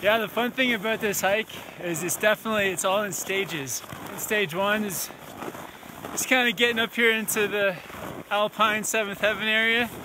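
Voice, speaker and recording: A man's voice: high voice, steady tone, moderate pace, noisy background. A young man casually narrating a snowboard vlog outdoors on a snowy mountain, talking to viewers into an arm's-length action camera. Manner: upbeat, addresses viewers as "guys", whoops. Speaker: snowboard vlogger. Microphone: action camera held at arm's length.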